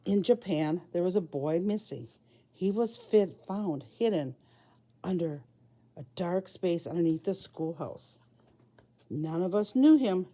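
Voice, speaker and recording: A woman with a slightly low, soft-edged and mellow voice reads a storybook to young children. She is a preschool teacher, and she phrases things simply and deliberately, in short chunks that small listeners can follow.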